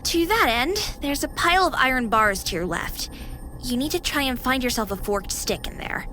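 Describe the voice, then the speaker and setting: A woman's voice: sits high, expressive, normal speed, pushed and bright. A woman playing a perky female AI companion in a video game, coaching and dryly teasing. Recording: music behind the voice.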